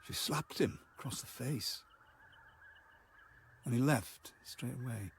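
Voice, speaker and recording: An actor playing a haunted, halting man who recalls a wartime childhood in a radio drama. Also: medium pitch, gravelly, a little hiss or hum. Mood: grave, remembering. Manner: halting, fragmented, hesitant delivery.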